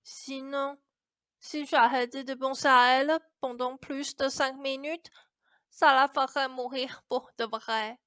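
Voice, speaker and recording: A stubborn, defiant young girl voiced by a woman in a radio play, with a high, piercingly bright voice. She is blunt and insistent, speaks at an unhurried pace and. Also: steady tone, smooth, no echo, studio quality, full character voice. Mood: earnest. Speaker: student actor. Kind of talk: radio drama acting.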